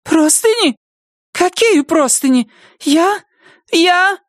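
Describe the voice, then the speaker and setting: Nettie, a shrill trembling older woman. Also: lively intonation, slow, no echo, clean studio sound, heavily acted. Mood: panicked shrill. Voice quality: high, thin, trembling voice. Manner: shrieking, panicked, near tears. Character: timid, high-strung older woman, shrill and shaky. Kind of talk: audiobook character voice.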